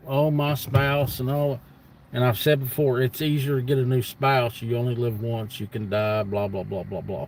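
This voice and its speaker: A man's voice: medium pitch, darkish tone. Blunt man in his fifties, noisy background. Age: 50s. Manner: blunt, folksy, emphatic repetition. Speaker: livestream host. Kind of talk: casual rambling monologue to viewers.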